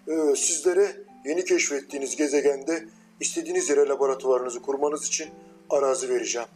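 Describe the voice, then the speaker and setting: A man's voice: medium pitch, darkish tone, lightly acted. A man voicing a kindly, formal male president who gives a speech of thanks.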